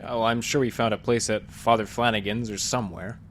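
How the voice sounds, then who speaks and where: An actor playing a wry, folksy library detective who narrates and talks in a noir-style radio play, with a kindly streak. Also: male voice, on the low side, steady tone, a little fast, fairly bright tone, slight background noise, lightly acted. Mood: wry, reassuring.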